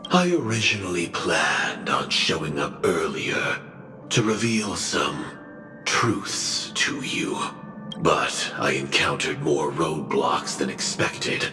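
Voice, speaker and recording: An armored machine hunter from a video game, solemn and menacing, with an extremely low voice. He speaks slowly and resolutely, echoing under loud music. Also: exaggerated voice. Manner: slow, grave, deliberate declarations. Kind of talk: scripted video game cutscene acting.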